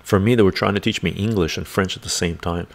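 A man's voice: sits low, fast, vocal fry. An older man with a congested chest hosting a casual livestream from a home room full of bookshelves, reading viewer comments aloud and chatting with a chuckle. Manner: rambling, chuckling, reads chat aloud. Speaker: livestream host. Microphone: desk microphone in front of him.

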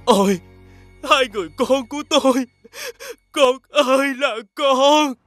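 An actor playing an emotional, exclamatory villager in an animated fairy tale. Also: male voice, very high-pitched, unhurried, no echo, faint music behind. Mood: wailing.

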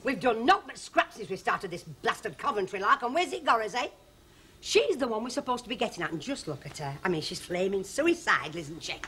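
A woman's voice: medium pitch, very animated, brisk, a bit bright, a little hiss or hum. An actress playing a firm, no-nonsense forewoman, scolding her coworkers.

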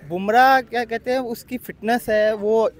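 A young cricketer from Pakistan answering questions outdoors at a ground, leaning on the same filler phrase again and again. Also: male voice, very high-pitched, steady tone, normal speed. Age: young adult. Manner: repeats the filler phrase often. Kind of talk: casual interview answers. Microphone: handheld mic.

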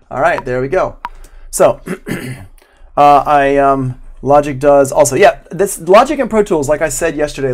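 A man's voice: mid-range voice, noisy background. A middle-aged man giving an informal live online lesson, chatting and thinking aloud as he demonstrates. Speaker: audio production instructor. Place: indoor room at home, live stream.

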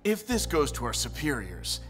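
A man playing an officious, quietly threatening policeman in a dubbed stage drama. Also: a little high, very animated, moderate pace, faint music behind. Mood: veiled threat.

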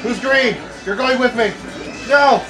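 A young man bantering with friends while gaming, theatrical, given to yelling and laughing, caught on a phone. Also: very high voice, a little slow, distant mic. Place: busy gaming venue with screens. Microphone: phone capturing room from off. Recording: phone recording, noisy room, game music and chatter.

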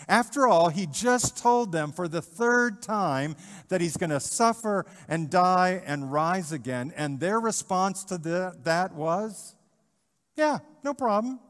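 A middle-aged male pastor preaching a sermon in a church, animated, with rhetorical questions and repetition. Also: sits high, slow. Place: church sanctuary, in front of the altar. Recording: church livestream with long reverb.

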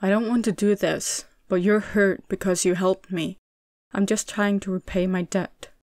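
A woman's voice: medium pitch, steady tone, normal speed, a little mellow, no echo, natural voice. A woman voicing a stubborn young city man, hurt and frustrated, in a storybook reading with a dry, close sound. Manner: pleading, emotional delivery.

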